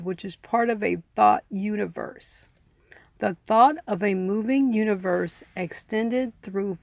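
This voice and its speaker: A woman reading and explaining a self-help book in measured, deliberate phrases, in the style of an informal audiobook. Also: fairly high pitch, vocal fry, darkish tone, no room sound. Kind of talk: audiobook-style reading with casual personal asides.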